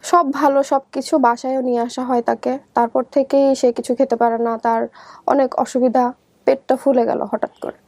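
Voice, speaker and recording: Young bangladeshi woman, really high voice, telling her life story, kinda halting, very dry sound. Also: flat delivery, a little mellow, dry recording. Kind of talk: personal storytelling in conversation.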